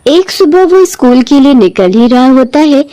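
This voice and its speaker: Woman telling a kids cartoon story, highish mellow voice, lively. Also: moderate pace, poor audio. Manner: animated, shifts into character voices. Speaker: narrator. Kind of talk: storybook narration for children.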